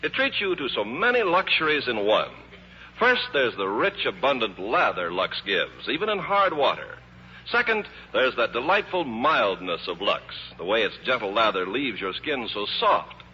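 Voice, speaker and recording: A man's voice: mid-range voice, big pitch swings, normal speed. A man reading a 1950s radio commercial with polished, emphatic salesmanship as the announcer. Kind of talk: 1950s radio advertising pitch. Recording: dull, reverberant vintage radio broadcast.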